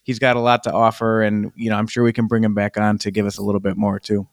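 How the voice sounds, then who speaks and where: Male podcast host in his thirties, low voice, dry close sound, friendly. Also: completely flat delivery, fast talker, gravelly, dry recording. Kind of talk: casual interview.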